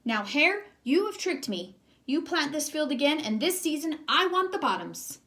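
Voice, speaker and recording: A woman's voice: high-pitched, very animated, moderate pace, a bit bright, pitched above the performer's own voice, heavily acted. A woman voicing a lazy, grumpy, easily duped male bear in a drawling, grumbling way for a children's storybook. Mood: indignant. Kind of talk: children's storybook read-aloud.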